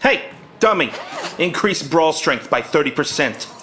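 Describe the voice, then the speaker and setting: A man voicing an intense, driven young rich brawler in an animated production. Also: measured pace. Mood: intense, determined. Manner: earnest, driven, highly strung.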